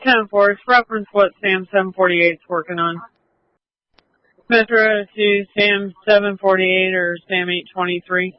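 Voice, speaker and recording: A man's voice: high-pitched, steady tone, a little slow, no echo. A man working as a police dispatcher, giving procedural updates over a police radio. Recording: dull lo-fi police radio audio.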